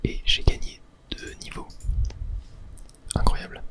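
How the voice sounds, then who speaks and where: Whispering ASMR gamer. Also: slow. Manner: casual, murmured thinking aloud with small filler words like "hop" and "tac". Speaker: host of a gaming video series. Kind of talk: whispered ASMR gaming commentary.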